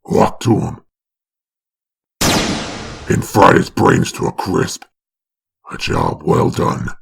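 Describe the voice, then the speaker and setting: A man voicing a sinister, mocking demonic narrator in a comic dub, with a gravelly rasp. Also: deep voice, huge pitch swings, slow pace, background noise, full character voice. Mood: sardonic, sinister. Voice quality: gravelly, raspy.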